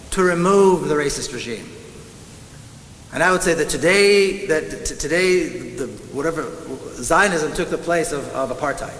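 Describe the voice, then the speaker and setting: A middle-aged man with an Israeli accent giving an impassioned conference speech, slowing down to hammer home key words. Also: a little high, a bit bright. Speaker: speaker at a conference. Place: large conference hall. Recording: echoey hall with faint hiss.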